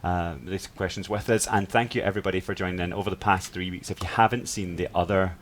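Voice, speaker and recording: A man's voice: sits low, steady tone, quick pace, a little hiss or hum. A man hosting a casual live stream, reading out questions and addressing viewers. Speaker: livestream host.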